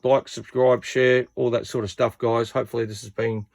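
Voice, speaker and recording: A man's voice: medium pitch, flat delivery, moderate pace, gravelly, no echo, clean studio sound. A man giving a casual hands-on repair tutorial in a plain-spoken, matter-of-fact way.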